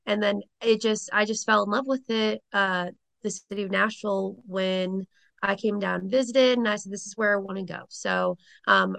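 A woman's voice: medium pitch, gravelly, fairly bright tone. A woman in her late twenties from Rhode Island, a guest chatting casually in an interview and saying um often, her Rhode Island accent faintly tinged with a Southern lilt. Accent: Rhode Island with a slight Southern tinge. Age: late 20s. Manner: chatty, with frequent ums and laughs.

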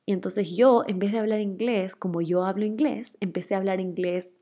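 A woman's voice: medium pitch, fairly even intonation, a little fast, warm tone. A young woman with a Guayaquil accent, chatting casually as a host and answering listener questions, with frequent fillers and laughs. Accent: Ecuadorian, Guayaquil. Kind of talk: casual chat.

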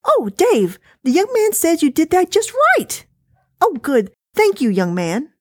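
A woman voicing a fussy, chatty older wife talking on the phone, high-pitched and bossy. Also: huge pitch swings, a little slow, dry recording, clean studio sound, exaggerated voice. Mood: chirpy, bossy.

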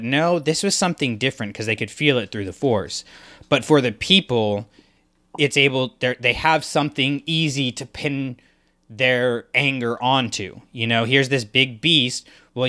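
Bright, emphatic male podcast co-host. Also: mid-range voice, normal speed. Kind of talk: podcast discussion, fan analysis.

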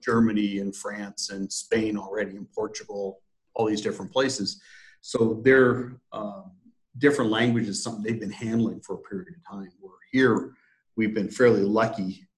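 A man's voice: on the low side, steady tone, normal speed, rough recording. A man, a sales director, explaining plans in an interview, hesitant with many fillers. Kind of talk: conversational business interview.

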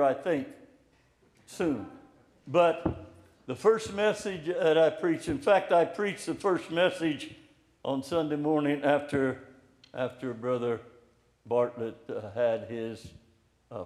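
An older man preaching an informal, encouraging sermon in a slow, plainspoken way. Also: fairly high pitch, darkish tone. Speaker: pastor. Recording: echoey room, hall reverb.

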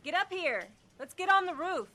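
A woman playing a capable, bossy leader of zombie survivors in an audio drama, brisk and commanding. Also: very high voice, expressive, pushed and bright, exaggerated voice. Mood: urgent.

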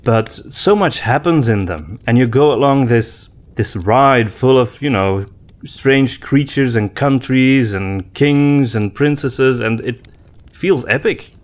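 A man co-hosting a podcast in casual conversation, reflective and often pausing to search for his words. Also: mid-range voice. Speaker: podcast co-host.

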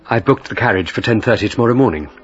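An actor playing a courteous, devoted English country gentleman in a radio drama, tender and gentle in manner. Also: on the low side, expressive, fast, close to an everyday voice. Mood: proud, courteous. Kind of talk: period radio drama acting. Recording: dull recording with music behind. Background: music.

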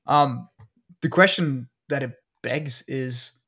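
An Australian man co-hosting a relaxed science podcast. He walks through research findings in an explanatory way, dotting his talk with ums and uhs at a middle pitch. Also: slow, slightly rough. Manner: explanatory, with frequent fillers. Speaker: podcast co-host. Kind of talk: casual science chat.

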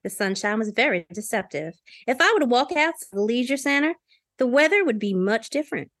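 Female podcast co-host, fairly high voice, dry close sound, playful casual fiction narration. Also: expressive, brisk, a bit bright, dry recording. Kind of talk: casual read-aloud of a novel, with joking.

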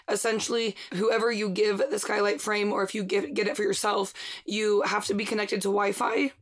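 A raspy-voiced woman hosts a casual podcast. She talks quickly, piling up fillers and restarts, and drifts into a sponsor read. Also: mid-range voice. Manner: fast-talking, filler-heavy. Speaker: podcast host.

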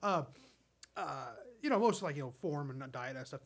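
A man's voice: on the high side, animated, moderate pace. A man hosting a crude comedy podcast, chatting casually in a rambling, repetitive way and swearing freely. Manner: rambling, repeats himself, swears. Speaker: podcast host.

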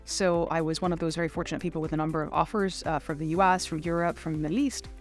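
Low-pitched middle-aged woman, interview guest. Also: fairly even intonation, quick pace, soft background music. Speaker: interview guest, finance executive. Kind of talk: conversational interview answers.

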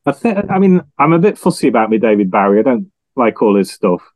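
A man's voice: fairly low pitch, big pitch swings, quick pace, a little mellow, dry recording. A man, chatting casually as a panellist in a music discussion. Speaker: podcast panellist.

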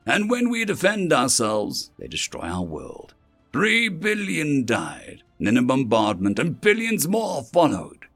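A man acting out a solemn, embittered ambassador in an audio story. The voice is creaky and swings widely in pitch, drawing out words with heavy emphasis over music. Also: wildly swooping pitch, fairly bright tone, full character voice. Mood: bitter, grave. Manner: emphatic, drawn-out, solemn delivery. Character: grave, wounded human ambassador recounting a catastrophe.

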